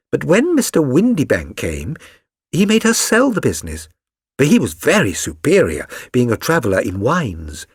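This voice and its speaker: A man's voice: mid-range voice, husky, dry recording, studio quality, voice pushed up. A man voicing a chatty, flustered young working-class London woman in a storybook reading, rambling earnestly and eagerly.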